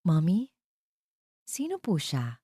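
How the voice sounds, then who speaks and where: A woman's voice: on the low side, wildly swooping pitch, slow pace, dark tone, breathy edge, no room sound, studio quality, exaggerated voice. A woman voicing an eager, polite young boy in an audio love drama. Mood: curious, pleading.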